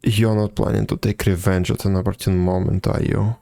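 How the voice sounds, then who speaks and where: Male streamer, deep voice, gravelly fry, casual, dry offhand game commentary. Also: flat delivery, normal speed, mellow. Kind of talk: casual live stream commentary, reading dialogue aloud.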